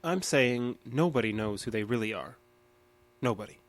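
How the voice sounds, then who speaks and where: A man's voice: medium pitch, animated, normal speed, lightly acted. An actor playing a stubborn, guarded diner manager in an audio drama, terse and insistent in his answers. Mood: confused, wary. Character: guarded, stubborn male diner assistant manager under questioning.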